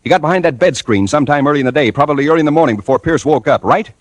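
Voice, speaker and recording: An actor playing a dogged, hardboiled newspaper reporter in a 1940s radio detective drama, with a husky voice and wry, clipped delivery. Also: male voice, fairly high pitch, rapid-fire, dry recording, lightly acted. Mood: accusing, insistent. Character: dogged hardboiled male newspaper reporter narrating his case. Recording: old radio recording with faint music.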